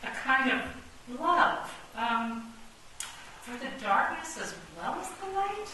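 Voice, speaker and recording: A woman's voice: sits high, very animated, takes it slowly, heavily acted. An actress playing a sensible, affectionate female chess piece in a theatrical radio play. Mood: tender, hesitant. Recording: echoey, distant, noisy stage recording.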